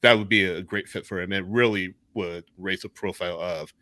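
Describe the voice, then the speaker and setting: Low-voiced man hosting sports chat, dry sound. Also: fairly even intonation, brisk, pushed and bright, no room sound. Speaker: sports podcast host. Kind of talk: casual live sports chat.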